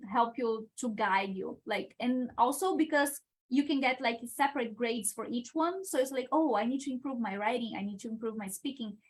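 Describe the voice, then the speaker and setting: Young Brazilian woman, Portuguese native, speaks English with a slightly high voice and works through her thoughts with frequent pauses and restarts. Video-call interview guest. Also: rough recording. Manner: hesitant, searching for words with many uh's and restarts. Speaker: guest, international engineering student. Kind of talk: live-stream Q&A answers.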